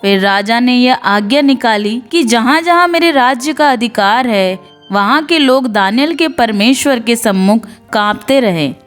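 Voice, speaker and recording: A woman narrating scripted Bible stories in an earnest devotional storytelling style. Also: a little high. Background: background music.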